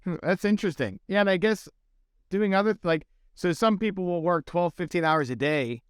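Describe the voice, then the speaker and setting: Podcast host: man, fairly high clear voice, dry close sound, curious and casual. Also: normal speed, dry recording. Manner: curious, loose, uses "like" a lot. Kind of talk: casual interview conversation.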